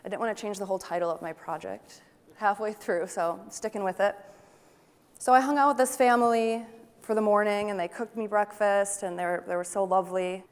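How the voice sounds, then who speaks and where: A young woman at a stage microphone speaks to a conference crowd in a chatty, enthusiastic way and asks them questions as she goes. Her voice sits at an ordinary middle pitch. A long hall reverb trails behind every phrase. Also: fairly even intonation, fairly quick, clean tone. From Wisconsin, United States. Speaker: photographer giving a talk. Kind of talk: conference presentation to an audience.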